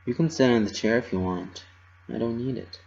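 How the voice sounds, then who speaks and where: A man voicing a loyal, guilt-ridden young female pegasus pony in a quiet, earnest way. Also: medium pitch, fairly quick, vocal fry, muffled recording, close to an everyday voice. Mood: quietly reassuring.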